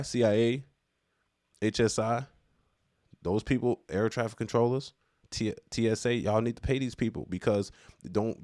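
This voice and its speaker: Deep-voiced man giving slangy commentary. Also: a little mellow, no room sound, clean studio sound. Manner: slangy, street-casual, repeats phrases for emphasis. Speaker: commentator on current affairs. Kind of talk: casual unscripted opinion commentary.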